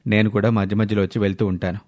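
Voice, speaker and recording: A man playing a kind, considerate, calm husband in a dramatised moral story. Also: lowish voice, very fast, no room sound, clean studio sound, lightly acted. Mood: sympathetic.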